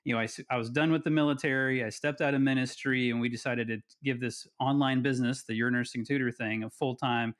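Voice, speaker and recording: A man's voice: mid-range voice, fairly even intonation, fast talker, hardly any pauses. Chatty man telling his backstory. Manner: chatty, storytelling, self-deprecating. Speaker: guest. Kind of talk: casual podcast interview.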